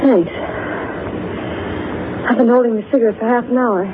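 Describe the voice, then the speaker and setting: A woman's voice: a little high, normal speed, close to an everyday voice. An actress playing a forward, streetwise young woman with a blunt, slangy, wounded manner in a 1930s radio drama. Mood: forward, probing. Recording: tinny, faintly noisy 1930s radio recording.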